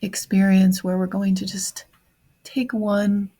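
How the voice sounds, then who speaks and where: A woman leading a guided meditation in a soft, breathy voice, speaking gently in short phrases with long pauses. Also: medium pitch, little pitch movement. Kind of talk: guided meditation on grief, slow and reflective.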